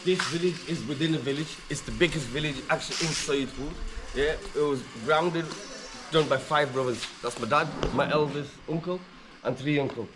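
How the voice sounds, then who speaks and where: A man's voice: on the high side, moderate pace, echoey room, noisy background. Man casually giving a village tour. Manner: joking, rambling, loose tour-guide talk. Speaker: vlogger. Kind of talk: casual chat, touring a village house. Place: old family house in a village, indoors and on upper floor.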